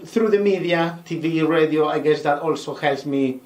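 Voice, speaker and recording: A man's voice: a little high, normal speed. Distant-sounding male interviewer, roomy echo. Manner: frequent soft agreeing murmurs. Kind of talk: interview questions and backchannel.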